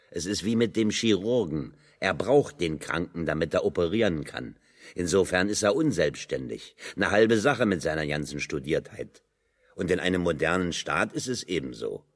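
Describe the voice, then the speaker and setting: Deep, gruff, sardonic metalworker. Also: male voice, heavily acted. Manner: gruff, wry, plain-spoken. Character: stocky, blunt, sardonic metalworker. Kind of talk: German radio drama dialogue.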